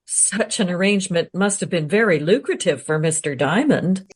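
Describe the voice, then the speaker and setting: A woman's voice: fairly low pitch, animated, normal speed, slight background noise, close to an everyday voice. A woman playing a courteous modern interviewer in a radio play, asking tactful but probing questions.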